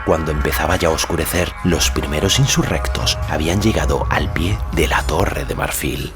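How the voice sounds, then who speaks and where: A man narrating a fantasy story as a scripted audiobook, measured and solemn in delivery. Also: extremely low pitch, music in the background.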